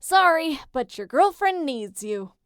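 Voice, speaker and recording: A woman's voice: sits high, very animated, a little slow, no echo, studio quality, pitched above the performer's own voice. A woman voicing a cheeky, teasing teenage boy in a dramatic story reading, brash and insistent. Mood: urgent, cocky.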